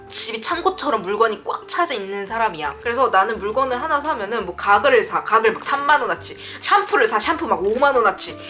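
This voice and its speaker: A woman's voice: on the high side, bright, forceful tone. A woman in her thirties giving casual advice to viewers as a vlogger, speaking in a frank, emphatic way. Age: 30s. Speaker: vlogger answering viewer letters.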